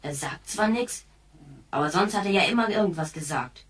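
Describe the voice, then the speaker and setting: An actress playing a frustrated, rebellious, foul-mouthed youngster in a science fiction radio play. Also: female voice, lowish voice, animated, moderate pace, a bit bright, recorded from a distance. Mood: worried. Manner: indignant, snapping.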